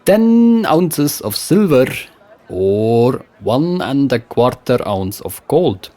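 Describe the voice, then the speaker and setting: A man voicing a sly, smooth-talking weapons trader with a put-on West Frankish accent. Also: medium pitch, very animated, slow pace, dark tone, voice pushed up. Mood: coaxing. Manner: coaxing, salesman-like.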